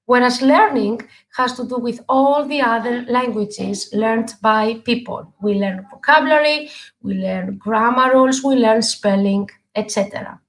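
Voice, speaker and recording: A middle-aged woman from Greece, a native Greek speaker and English teacher, giving an earnest live online talk with many pauses and fillers. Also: fairly high pitch, low-quality recording. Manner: earnest, halting with frequent fillers.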